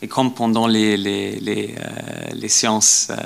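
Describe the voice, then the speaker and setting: A low, gravelly man's voice with a lot of creak in it. He lectures in a language that is not his native one, stumbling through repeated words and filler sounds as he works his way to each point. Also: little pitch movement, a little slow. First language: not French. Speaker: guest lecturer. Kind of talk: academic lecture.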